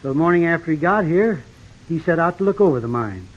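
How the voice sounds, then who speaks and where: An old rural Western American homesteader for a radio western. His voice sits rather high, and his talk is plain, drawling and folksy. The recording is dull and vintage. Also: expressive, fast. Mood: rueful. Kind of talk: old-time western radio drama acting.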